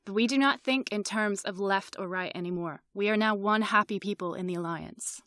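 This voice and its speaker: An actress playing a courteous, diplomatic alien president in an audio drama, speaking in a formal, measured manner. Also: female voice, medium pitch, a bit bright, dry recording, lightly acted. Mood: formal, gracious.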